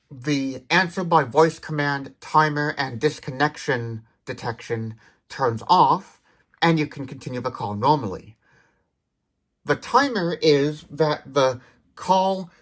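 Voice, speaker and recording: A man's voice: fairly high pitch, fairly bright tone. A man hosting a step-by-step product demonstration, explaining as he goes and correcting himself while thinking aloud. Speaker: host of a phone gadget demonstration channel.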